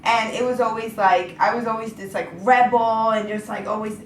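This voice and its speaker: A woman's voice: a little high, steady tone, normal speed, far from the mic, slight background noise. Chatty young woman, echoey room. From Brooklyn, New York, USA. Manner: chatty, fills every thought with "like". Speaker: singer-songwriter guest. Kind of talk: casual interview chat.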